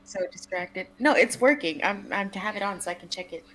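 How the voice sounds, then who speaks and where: A woman's voice: mid-range voice, lively intonation, a little fast, a bit bright, slight background noise, rough recording. A woman chatting casually and jokily as a co-streamer during a game. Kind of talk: casual, joking chat over a game.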